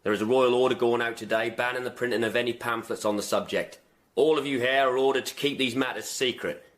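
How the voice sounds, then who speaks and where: An actor playing a stern, secretive royal coroner with an English accent, speaking with formal authority in a radio drama. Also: male voice, mid-range voice, steady tone, fast talker, close to an everyday voice.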